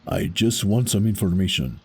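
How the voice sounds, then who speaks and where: Man acting a dark, husky, stern masked hero. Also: low voice, expressive, moderate pace, full character voice. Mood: measured. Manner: grave, formal, heroic. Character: noble, stern masked champion luchador, a male hero. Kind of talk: audio drama acting.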